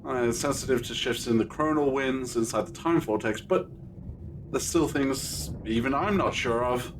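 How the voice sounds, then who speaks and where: An actor in an audio drama playing an ancient, whimsical time traveler who is curious and reassuring. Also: male voice, medium pitch, moderate pace, lightly acted. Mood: musing, curious. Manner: musing, playful, reassuring. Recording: very noisy, hissy backdrop.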